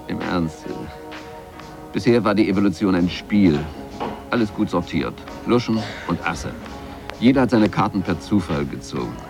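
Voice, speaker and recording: A glib comedian in a radio play, with a lowish voice. He rambles ironically, flirts and dodges, stopping and starting as he goes. Music plays clearly behind him. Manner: playful, rambling, ironic, stop-and-start hesitations. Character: glib male comedian, flirtatious, wisecracking, evasive under questioning. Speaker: comedian being interviewed. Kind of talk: 1980s German radio drama acting.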